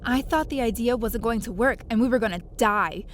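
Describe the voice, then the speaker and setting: A woman playing a plucky, quick-thinking space adventurer who narrates her own story in an audio drama with expressive, dramatic delivery. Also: fairly high pitch, slight background noise, close to an everyday voice. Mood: dramatic narration. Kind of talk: first-person narration and audio drama acting.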